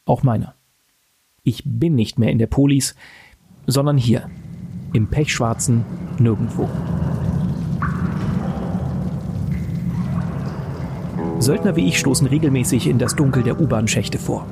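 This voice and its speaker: A man's voice: mid-range voice, moderate pace, gravelly, pauses often, natural voice. A man playing a weary, bleak mercenary survivor, narrating an audio drama in a brooding, literary way. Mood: grim. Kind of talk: scripted first-person dramatic narration. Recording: voice over game music. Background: guitar music and game sound effects.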